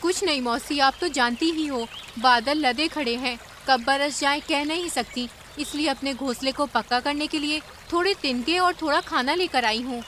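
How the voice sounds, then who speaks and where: A woman's voice: sits high, moderate pace, few pauses, fairly bright tone, slight background noise. A woman voicing a caring, chatty young female bird in a cartoon story. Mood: friendly, concerned. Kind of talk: Hindi animated cartoon story acting.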